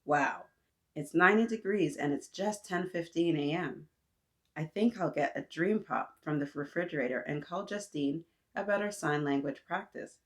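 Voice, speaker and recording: A woman's voice: sits low, moderate pace, lightly acted. A woman voicing a friendly, busy young girl in a picture book, polite, chatty and earnest. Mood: thoughtful.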